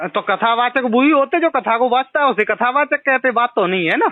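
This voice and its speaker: A man speaks in a high register over a narrow, tinny telephone connection. He has a western Uttar Pradesh accent and explains his points insistently, pushing to be heard in an argumentative conversation. The sound is dry, with no room around it. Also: fairly quick, no room sound. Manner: insistent, explanatory, repeatedly interjecting. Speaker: caller. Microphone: phone line. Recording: tinny phone call recording.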